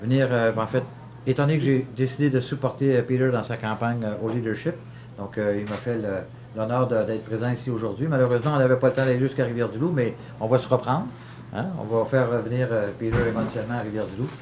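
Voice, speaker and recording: A man's voice: mid-range voice, fairly even intonation, quick pace, a little mellow, a little hiss or hum. A man with a Quebec French accent introducing a guest, speaking loosely with many fillers. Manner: filler-heavy, loose phrasing.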